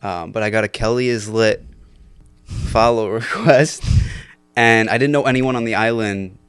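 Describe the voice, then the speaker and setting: A man's voice: lowish voice, measured pace, fairly bright tone, smooth, no echo. A young man in his twenties telling stories on a casual podcast, loose and laughing with lots of fillers. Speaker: podcast guest. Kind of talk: casual storytelling chat.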